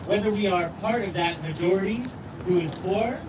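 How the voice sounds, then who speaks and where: A faith speaker giving an earnest, measured speech at an outdoor rally. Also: medium pitch. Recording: distant mic with loud street noise.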